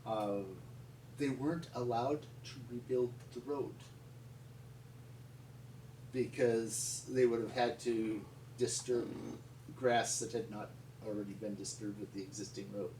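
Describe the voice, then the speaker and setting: An American man telling stories in an unscripted, conversational way, with a rambling, halting delivery. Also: medium pitch, fairly even intonation, mellow. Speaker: paleontologist and museum founder telling stories. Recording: echoey, distant mic with background noise.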